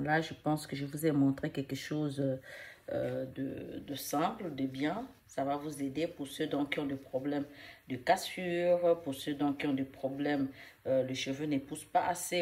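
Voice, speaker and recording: Woman vlogger with a cold, low voice, chatty rambling how-to. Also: steady tone, normal speed, a little mellow. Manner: rambling, repeats herself, many fillers. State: has a cold and cough. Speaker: hair care vlogger. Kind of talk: casual how-to demonstration.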